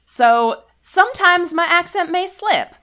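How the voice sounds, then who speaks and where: Animated young woman rambling in character. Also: very high-pitched, slow. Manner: rambling, many "I mean" restarts; giggly and animated. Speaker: player. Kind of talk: answering in character in a tabletop game.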